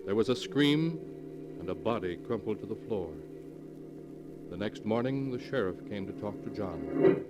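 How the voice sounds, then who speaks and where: An actor playing a grave, ominous narrator of a horror tale in melodramatic 1940s radio style. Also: male voice, on the low side, lively intonation, unhurried, pauses often. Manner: solemn, melodramatic storytelling. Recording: old 1940s radio recording with music. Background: loud dramatic music.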